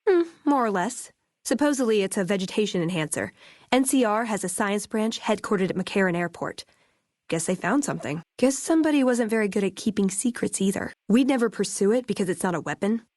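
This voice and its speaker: An American actress playing an earnest, wry young idealist in a video game, speaking with dry sarcasm and conviction. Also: female voice, medium pitch, expressive, a little fast, a little mellow, dry recording, natural voice. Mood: thoughtful. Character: earnest, wry young woman, idealistic tech-order scribe. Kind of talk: video game character dialogue.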